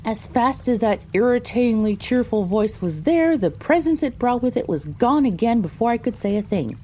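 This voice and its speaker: A woman's voice: a little high, big pitch swings, normal speed, mellow, slight background noise. A woman voicing a pony who narrates her own story, reading scripted first-person audio drama narration. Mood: wry.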